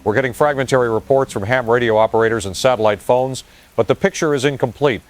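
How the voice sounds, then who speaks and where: A man's voice: mid-range voice, fast, close to an everyday voice. An actor playing a shaken male field correspondent reporting in an urgent, grave manner. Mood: grave urgency. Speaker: news correspondent. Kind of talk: audio drama news report.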